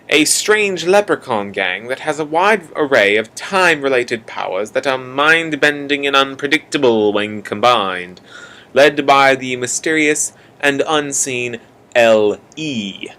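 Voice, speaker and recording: A man's voice: mid-range voice, bright, forceful tone. Young man narrating with mock-ominous theatrics. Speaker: narrator of a college radio play. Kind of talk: dramatic scripted narration addressed to the listener.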